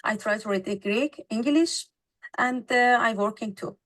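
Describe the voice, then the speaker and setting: A woman from Iran on a video call, introducing herself haltingly, with fillers. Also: on the high side, fairly bright tone. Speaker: panelist, coaching client.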